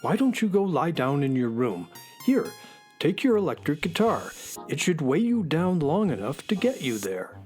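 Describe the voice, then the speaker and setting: Man acting a lively, practical space companion. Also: fairly high pitch, moderate pace, soft background music. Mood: helpful, practical. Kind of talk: children's radio drama acting.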